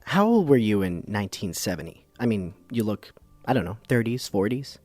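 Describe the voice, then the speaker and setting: Man playing earnest, low-voiced radio host. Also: sing-song, normal speed, a little mellow, soft background music. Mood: puzzled, curious. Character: earnest, puzzled male public-radio documentary host. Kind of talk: public radio scripted narration and interviewing.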